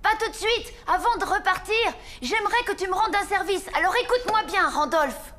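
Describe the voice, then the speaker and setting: A high, youthful boy's voice for a children's cartoon. He is earnest, eager and good-hearted, with music underneath. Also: a little fast. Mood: eager, determined. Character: earnest, kind-hearted orphan boy, apprentice Santa.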